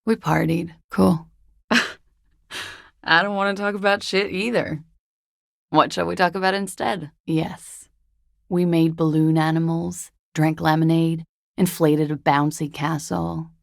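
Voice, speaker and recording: Coy disco dancer, creaky low voice. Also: female voice, steady tone, measured pace, no echo, studio quality. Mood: playful, dry. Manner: wry, coy, understated. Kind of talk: video game voice acting.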